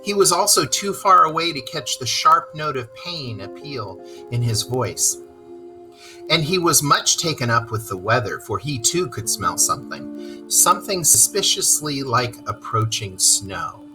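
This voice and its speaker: A storyteller reading aloud to children in expressive narration, with explanatory asides. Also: mid-range voice, a little slow, over music, poor audio.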